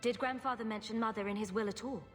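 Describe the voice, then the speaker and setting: An actress playing a wry, quick-witted young woman, the direct and joking heroine of a video game. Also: fairly high pitch, steady tone, long reverb tail, soft background music, lightly acted. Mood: probing, wry.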